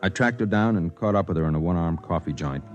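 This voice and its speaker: Male actor playing wry reporter, very low pitch, terse matter-of-fact delivery, 1950s radio drama. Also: fast, dull audio, faint music behind, close to an everyday voice. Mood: low-key, wry. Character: wry, hard-working male newspaper reporter narrating his story. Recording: vintage 1950s radio broadcast.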